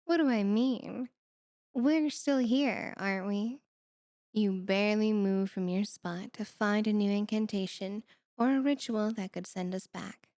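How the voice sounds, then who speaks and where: A woman's voice: mid-range voice, a little slow, a little mellow, no echo, studio quality, close to an everyday voice. A woman voicing a teasing, confident succubus demoness in an ASMR roleplay, with a sultry, coaxing manner. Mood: coy, mocking.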